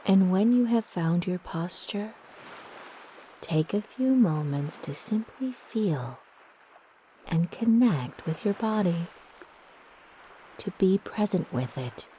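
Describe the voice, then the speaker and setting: A woman narrating a guided meditation in a soft, breathy, gentle and soothing manner with long pauses. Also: lowish voice, animated, a little hiss or hum.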